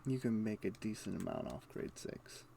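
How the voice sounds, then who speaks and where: A man's voice: fairly low pitch, moderate pace, creaky, mellow. A man doing casual video game stream commentary, muttering to himself and explaining things offhandedly. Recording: faint background noise.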